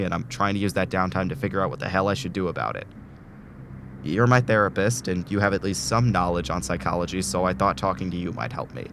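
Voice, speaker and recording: A man's voice: low voice, background noise, lightly acted. A man acting a desperate, tormented patient in a student audio drama, speaking in a weary, urgent, rambling way. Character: desperate, tormented man trapped in torture loop.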